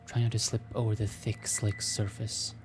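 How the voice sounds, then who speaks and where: A man's voice: lowish voice, level tone, normal speed, over music. A man reading a visual novel aloud as host, with theatrical narration and joking asides.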